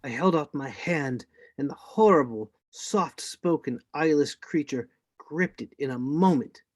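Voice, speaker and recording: A man playing the narrator of a radio play reading, telling a boyhood adventure in a measured, suspenseful way. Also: on the high side, expressive, dry recording, clean studio sound. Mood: grave, suspenseful. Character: grown man recounting his boyhood sea adventure.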